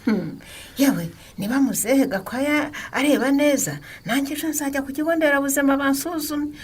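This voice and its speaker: A woman's voice: high voice, a little fast, close to an everyday voice. A woman playing a stubborn, scolding elderly village mother in a radio drama, speaking insistently and exclaiming. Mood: grudgingly curious.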